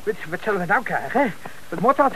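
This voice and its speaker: Small-time crook: defensive bluster, quick, forceful and high-pitched, for radio drama. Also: male voice, big pitch swings, a little hiss or hum, heavily acted, rough recording. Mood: startled indignation. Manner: indignant, blustering, streetwise. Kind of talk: radio play acting.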